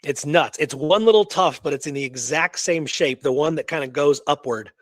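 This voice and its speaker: A man's voice: on the high side, normal speed, dry recording, studio quality. A man co-hosting a radio show, recounting history in a casual, enthusiastic, informal way.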